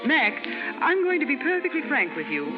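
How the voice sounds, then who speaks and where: Possessive society fiancée, very high voice, swooping intonation, pointed and formal, vintage radio drama. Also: female voice, sing-song, a little fast, music in the background. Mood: suspicious. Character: cool, insistent society fiancée, possessive and suspicious. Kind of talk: 1930s radio acting. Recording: muffled 1930s radio broadcast.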